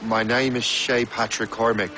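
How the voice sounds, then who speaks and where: A man's voice: medium pitch, level tone, moderate pace, music in the background, close to an everyday voice. An actor playing a resolute, commanding male captain in a video game cutscene, giving firm, clipped orders. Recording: game audio with loud score.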